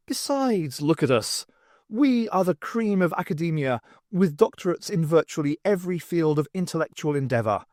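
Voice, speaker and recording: A man's voice: on the high side, very animated, moderate pace, a little mellow, no room sound, studio quality, voice pushed up. A man voicing a self-assured male academic in an audiobook, speaking like a pompous orator. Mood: reassuring, self-satisfied.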